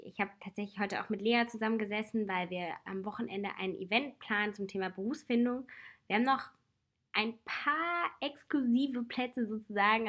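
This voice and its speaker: A woman in her twenties with a slight cold, hosting a casual personal advice talk in a reflective, chatty way with soft laughs. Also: on the high side. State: has a cold.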